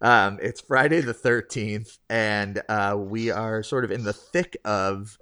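A man's voice: lowish voice, fairly even intonation, takes it slowly, pushed and bright, clean tone. Rambling man hosting a kids' podcast. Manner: rambling, self-deprecating, many restarts. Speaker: podcast host. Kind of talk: casual interview chat.

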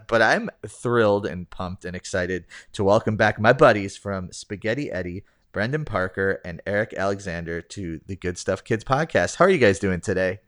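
A man's voice: on the low side, fairly bright tone. Rambling man hosting a kids' podcast. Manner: rambling, self-deprecating, many restarts. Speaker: podcast host. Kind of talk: casual interview chat.

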